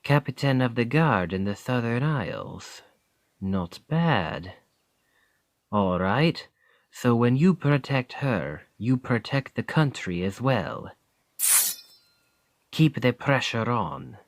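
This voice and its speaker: An actor playing a seasoned royal bodyguard with a French accent, speaking in a wry, testing, measured way in an ASMR roleplay. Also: male voice, medium pitch, animated, no echo.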